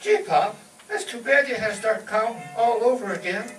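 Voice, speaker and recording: A man's voice: high-pitched, animated, normal speed, a bit bright, soft background music. A man playing an eager, polite teenage son in an old-time radio comedy, speaking earnestly. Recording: roomy distant pickup, clearly noisy background.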